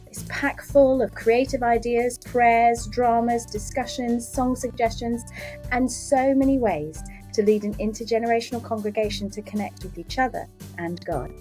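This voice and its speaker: A woman hosting a church podcast who reads a scripted intro and then chats with a guest, enthusiastic and quick to laugh. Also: a little high, steady tone, a little mellow, soft background music. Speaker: podcast host.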